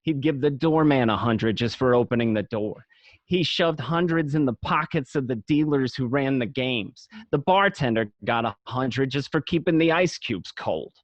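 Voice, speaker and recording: A man's voice: medium pitch, moderate pace, dry recording, close to an everyday voice. Man as a nostalgic gangster narrator, dry sound. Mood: admiring, reminiscing. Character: nostalgic male gangster narrating his youth among mobsters. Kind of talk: first-person dramatic narration. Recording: dry, slightly dull home recording.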